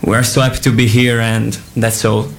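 A man's voice: medium pitch, level tone, brisk, a little hiss or hum. A young man from Italy with an Italian accent, an exchange student chatting in broken English with restarts. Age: young adult.

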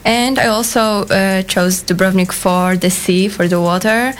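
A woman's voice: mid-range voice, steady tone, unhurried. Young woman, faint background noise. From Poland. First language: Polish. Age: young adult. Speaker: exchange student guest.

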